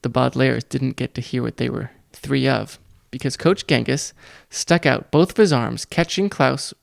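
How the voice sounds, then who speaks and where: A man reading a children's audiobook, narrating in a measured, deliberate way. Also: medium pitch, vocal fry, no room sound, clean studio sound. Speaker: audiobook reader.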